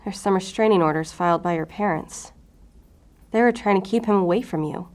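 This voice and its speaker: A woman's voice: mid-range voice, a little fast, a little mellow, natural voice. A woman playing a curt, defensive, foul-mouthed FBI agent in an audio drama. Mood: wry, focused. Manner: curt, profane, irritable. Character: volatile, defensive, obsessive female FBI agent.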